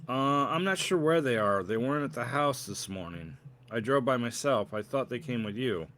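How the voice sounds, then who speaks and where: A man's voice: medium pitch, lively intonation, moderate pace, fairly bright tone, close to an everyday voice. Male reader as storybook husband: churchgoer, awkward, chatty, anxious to please. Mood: awkward, apologetic.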